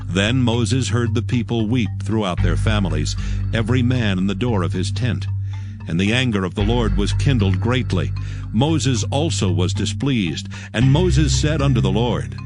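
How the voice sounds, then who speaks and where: Casting: male Bible narrator, lowish pitch, measured and formal, clear music bed underneath. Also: animated. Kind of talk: scripted scripture narration.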